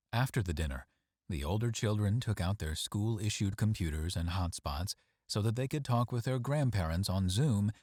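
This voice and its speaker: Deep-voiced man reading a story, lively delivery. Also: breathy edge, no echo, studio quality. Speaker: story reader. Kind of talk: scripted storytelling narration.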